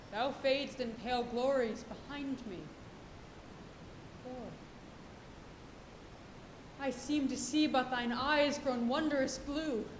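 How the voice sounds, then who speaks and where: A woman playing a sober, mournful first mate on a whaling ship, speaking solemnly and with measured gravity on stage. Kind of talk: theatrical stage acting of a literary tragedy. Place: stage of a college auditorium. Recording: echoey auditorium, clear rumbling noise.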